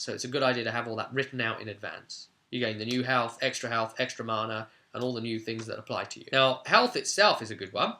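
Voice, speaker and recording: A man's voice: medium pitch, pushed and bright. A man giving an informal tutorial as a game master, conversational and stressing key words.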